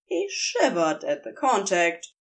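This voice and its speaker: A woman narrates a story aloud in a relaxed, unhurried way, taking her time over each phrase. Her pitch moves a great deal, rising and dipping with the sentences to keep the telling lively and expressive. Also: gravelly. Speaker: podfic reader. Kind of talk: audiobook-style reading of fan fiction.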